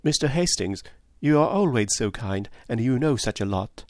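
A man's voice: mid-range voice, expressive, normal speed, dry recording, natural voice. A male narrator voicing a kind, candid young English woman who talks in an earnest, confiding, slightly plaintive way. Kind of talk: audiobook character voice.

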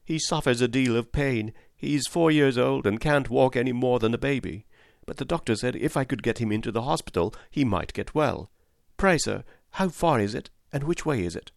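A man's voice: mid-range voice, moderate pace, gravelly, no echo, studio quality, pitched above the performer's own voice, natural voice. A man voicing a poor young country mother, anxious and humble, pleading politely in a storybook reading. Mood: worried, pleading.

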